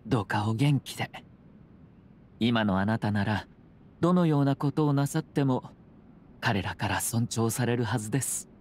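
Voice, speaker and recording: An actor playing a polite young clan head in an anime game, speaking in a measured, formal way. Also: male voice, medium pitch, slight background noise, natural voice. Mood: gracious. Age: young adult. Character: composed, courteous young noble clan head. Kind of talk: Japanese anime game voice acting. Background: music.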